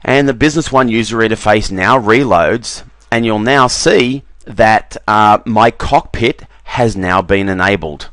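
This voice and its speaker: Low, dry-voiced man demoing software. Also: measured pace, few pauses, dry recording. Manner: relaxed, repeats "very, very" and fills with "uh". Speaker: software demonstrator. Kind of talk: screen-recorded software walkthrough. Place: voice-over on a screen recording.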